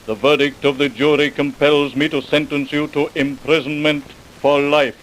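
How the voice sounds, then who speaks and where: A man playing a stern, solemn judge in an old-time radio drama, formal and measured. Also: fairly high pitch, level tone, lightly acted, low-quality recording. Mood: stern, grave.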